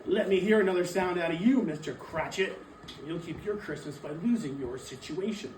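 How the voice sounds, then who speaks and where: A bitter, miserly old man acted by a man on stage with a slightly high voice, curt and scornful in a dramatic Victorian reading. He is picked up from a distance in an echoey room. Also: moderate pace, darkish tone, a little hiss or hum, natural voice. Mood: angry, curt. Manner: snapping, dismissive, emphatic.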